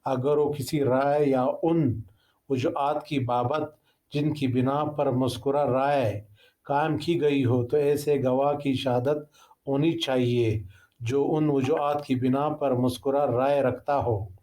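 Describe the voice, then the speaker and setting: A man with a Pakistani accent reading legal text aloud as a lecturer, in a formal, deliberate manner with occasional stumbles. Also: medium pitch, fairly even intonation, warm tone. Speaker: law lecturer.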